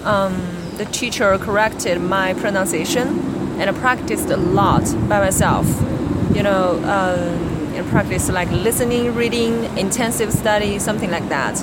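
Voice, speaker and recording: A young woman from China with a Chinese accent, a native Chinese speaker answering interview questions hesitantly, with pauses and restarts. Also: medium pitch. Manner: hesitant, many fillers and restarts. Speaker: interviewee, graduate student. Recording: background noise.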